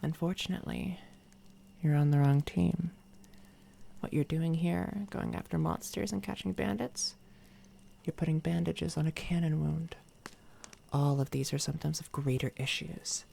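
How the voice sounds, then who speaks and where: Smug evil-twin villainess, played by a woman, ASMR roleplay: soft, whispery, taunting, dark-edged brightness kept low, heavy vocal fry. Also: exaggerated voice. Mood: condescending, taunting, then scornful. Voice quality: soft, breathy, often slipping into whisper. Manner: taunting, condescending, unhurried. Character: smug, menacing villainess doppelganger from another timeline. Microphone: close binaural microphone.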